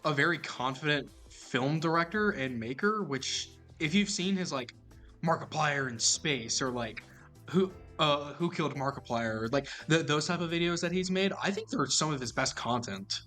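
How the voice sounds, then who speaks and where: Hyped young dude chatting on a podcast, bright voice, faint music under it. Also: male voice, on the high side, animated, moderate pace. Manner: enthusiastic, jumps in often, yells when mimicking. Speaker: podcast co-host. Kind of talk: casual chat.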